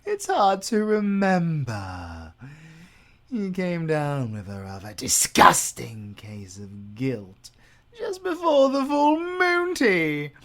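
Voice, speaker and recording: A man's voice: sits high, vocal fry, fairly bright tone, exaggerated voice. A British man playing a sadistic, gloating male werewolf villain with a silky, menacing delivery that drops into whispers. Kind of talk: audio roleplay acting.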